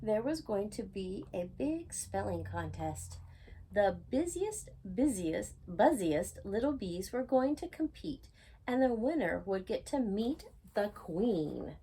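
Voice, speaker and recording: A woman's voice: medium pitch, sing-song, slow pace, mellow, slightly rough, low-quality recording. A woman reading a children's storybook aloud expressively, with chatty asides to the listener. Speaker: storytime reader.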